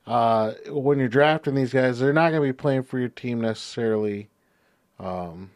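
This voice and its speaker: A man's voice: mid-range voice, normal speed, no echo, studio quality. A man co-hosting a casual sports podcast, agreeable and easygoing, with many "you know"s and restarts. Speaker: podcast co-host.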